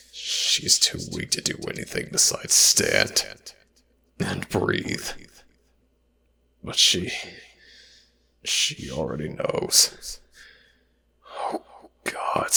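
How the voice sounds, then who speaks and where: A sorrowful god of an audio roleplay, grave and compassionate. His voice is rock-bottom low and heavily creaky, with a harsh, husky edge. He speaks in halting phrases that often sink toward a whisper. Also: pushed and bright, exaggerated voice. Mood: horrified, indignant. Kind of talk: ASMR audio roleplay, dramatic internal narration.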